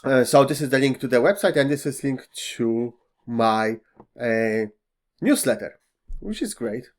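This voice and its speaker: A man whose first language is Polish guides viewers through software at a slow, unhurried pace. His speech is full of fillers and slightly non-native phrasing. He speaks into a desktop mic at his computer. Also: mid-range voice, lively intonation. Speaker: tech tutorial host. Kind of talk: screen-recorded software walkthrough.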